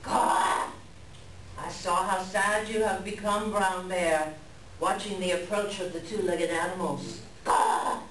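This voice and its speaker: A woman playing an excitable, questioning raven who punctuates speech with croaking caws in a dramatic staged reading. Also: on the low side, slow. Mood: concerned, solemn. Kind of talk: dramatic reader's theater performance. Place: live staged reading in a library room. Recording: roomy, distant live recording, faint noise.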